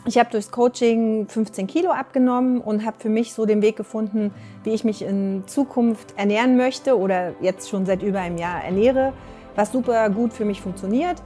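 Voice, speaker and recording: A woman's voice: mid-range voice, moderate pace, mellow, faint music behind. A woman of forty, a nutrition coach talking about her work in a friendly, matter-of-fact way. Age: 40s. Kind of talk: recruiting video interview.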